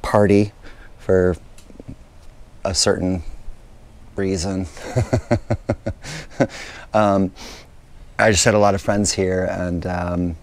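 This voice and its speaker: A man in his thirties, hoarse after losing his voice, hosting a relaxed garden tour outdoors with a lapel mic, talking casually with lots of fillers. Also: deep voice, very slow, pauses often. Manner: chatty, relaxed, with many fillers. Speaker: gardening channel host.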